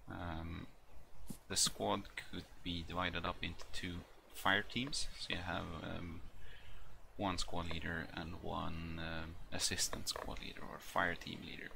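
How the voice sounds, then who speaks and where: Brief: man, deep voice, hesitant walkthrough, noisy home recording. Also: gravelly. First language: not English. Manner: hesitant, halting delivery full of ums. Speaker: hobbyist video maker. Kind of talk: casual walkthrough with many fillers.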